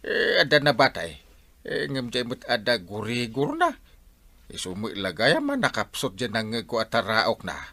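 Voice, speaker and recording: A man with an Ilocano accent acting an excitable, puzzled village husband in a comedy drama. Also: medium pitch, moderate pace, creaky, no echo, exaggerated voice. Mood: worried, vengeful.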